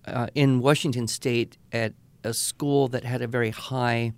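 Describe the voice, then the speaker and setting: A man's voice: medium pitch, measured pace, clean tone, dry recording. A middle-aged man co-hosts a podcast about teaching, easing into stories with a casual, anecdotal flow. His voice sits squarely in the middle range. The sound is close and dry, with no room around it. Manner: relaxed anecdotal, frequent "uh" and "you know". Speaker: podcast co-host, writing educator. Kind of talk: casual conversational storytelling.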